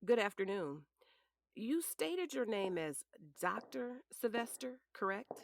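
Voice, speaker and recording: A woman's voice: a little high, sing-song, measured pace, vocal fry, slight background noise, heavily acted. A woman playing a polished defense attorney in a courtroom drama, formal, methodical and pointed. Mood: probing. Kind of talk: audio drama acting.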